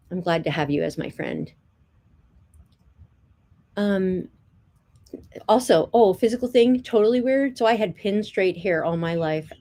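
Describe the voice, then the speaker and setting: A woman's voice: on the low side, mellow. A middle-aged woman from New Jersey, talking in a casual, earnest testimony to the camera, chatty and repeating herself with many "um"s. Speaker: vlogger.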